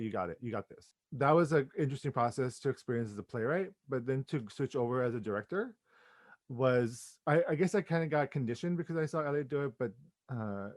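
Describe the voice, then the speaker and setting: A man's voice: medium pitch, darkish tone, dry recording. A man chatting casually and effusively in an interview as a playwright and director, with informal slangy phrasing.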